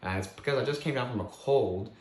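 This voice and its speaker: A man with a voice still hoarse from a cold, casually reviewing a board game at home and rambling with many self-corrections. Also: mid-range voice, brisk, roomy sound.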